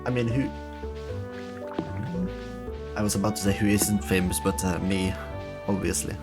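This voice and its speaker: Lower-voiced gamer over clear music. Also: male voice, steady tone, moderate pace. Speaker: video game player in a co-op stream. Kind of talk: casual gaming chat. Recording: clear game music behind. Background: game music.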